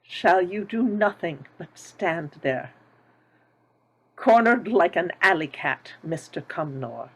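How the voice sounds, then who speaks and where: A woman plays a frail, ghoulish old lady in a drama table read. Her voice is fairly low and husky, and she speaks very slowly, with bitter scorn and accusation. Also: lively intonation, exaggerated voice, poor audio. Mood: scornful, accusing. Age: older.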